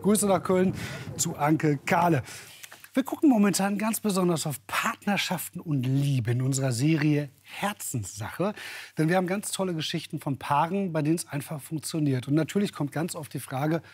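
A man presents a TV magazine show from the studio. His voice is somewhat husky and a little high for a man, and his delivery is upbeat and teasing. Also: moderate pace.

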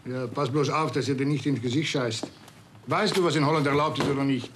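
An actor playing a wry colleague in a crime drama, speaking in a dry, joking way. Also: male voice, medium pitch, a little fast, noisy background, natural voice.

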